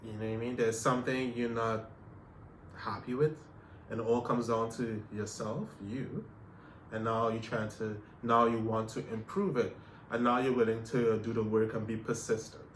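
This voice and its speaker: A man from Canada co-hosts a casual chat and talks in a reflective way, circling back with repeats and fillers. His voice sits at an ordinary pitch. The recording sounds roomy and has a faint hiss. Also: little pitch movement, moderate pace. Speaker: podcast co-host.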